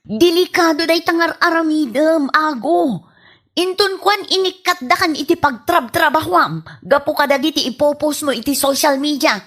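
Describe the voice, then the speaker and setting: An actress plays an exasperated Ilocano wife in a radio comedy drama. Her voice is high, and she scolds with emphatic indignation, ashamed of her foolish husband. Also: normal speed, fairly bright tone. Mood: warning.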